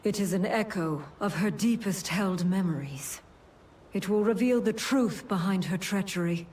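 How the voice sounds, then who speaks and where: A woman playing a solemn, authoritative winged paragon in a fantasy game, speaking in a measured, formal way. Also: mid-range voice, darkish tone, close to an everyday voice. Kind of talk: fantasy video game voice acting. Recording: game music behind the voice.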